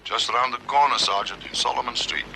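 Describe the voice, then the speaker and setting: A man's voice: mid-range voice, quick pace, vocal fry, pushed and bright. A South African man playing a dry, impatient police constable calling in on a car radio in a radio drama. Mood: dry, alert. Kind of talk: old radio drama acting. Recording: noisy vintage radio drama recording.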